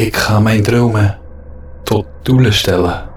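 A man delivering a reflective spoken-word monologue in short, deliberate, repeated phrases for an audio-play podcast. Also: fairly low pitch. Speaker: podcast host. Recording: echoey room sound, faint background noise.

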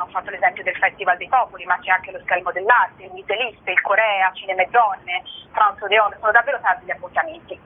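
A woman explaining things as an interviewed cinema programme director. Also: medium pitch. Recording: noisy, narrow phone-line sound on radio.

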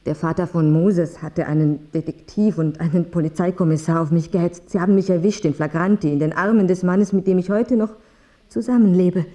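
Actress as anxious remorseful mother, radio drama, mellow low-leaning voice, halting delivery. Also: fairly even intonation, close to an everyday voice. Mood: confessional, earnest. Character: anxious, remorseful mother who left her son long ago.

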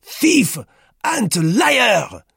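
A man's voice: very high-pitched, sing-song, very slow, creaky, a bit bright, husky, dry recording, clean studio sound, pitched above the performer's own voice, full character voice. A man voicing a pompous, indignant old French businessman in an audiobook, stern and declamatory. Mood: furious, accusing.

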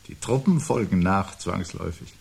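An actor playing a brooding, anxious man who prophesies the end of life, speaking gravely and deliberately with a husky voice in a 1970s radio play. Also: deep voice, darkish tone, full character voice. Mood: bleak, insistent. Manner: grave, deliberate, articulate, philosophical monologuing.

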